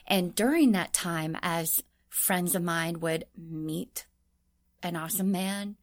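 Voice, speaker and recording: A woman in her thirties from Southern California, a beauty coach sharing a personal testimony in a podcast interview with animated, expressive storytelling. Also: lowish voice, takes it slowly.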